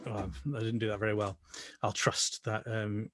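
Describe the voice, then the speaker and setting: A man's voice: fairly low pitch, level tone, normal speed, fairly bright tone, dry recording. A man leading an online choir rehearsal over a video call, chatty and hesitant, with many fillers. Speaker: choir leader.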